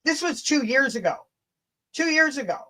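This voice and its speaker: A woman's voice: sits high, expressive, normal speed, a bit bright. A woman hosting a casual livestream rant, blunt, combative and sweary, with chatty asides to her viewers. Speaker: livestream host.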